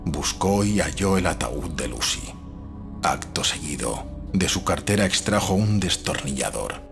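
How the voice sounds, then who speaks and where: A man's voice: rumbling low, lively intonation, a little slow, creaky. A man reading a gothic horror audiobook as a scripted, dramatic narration. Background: faint music.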